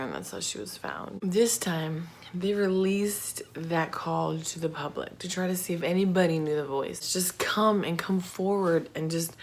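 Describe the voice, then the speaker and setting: A woman telling a true crime story in a casual, informal, slangy and halting way. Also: low-pitched. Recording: clear background noise.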